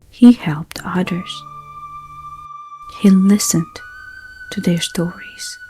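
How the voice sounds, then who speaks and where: A woman narrating a bedtime story in a gentle, soothing, hushed manner, with unhurried phrasing. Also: fairly low pitch. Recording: soft music under the voice. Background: background music.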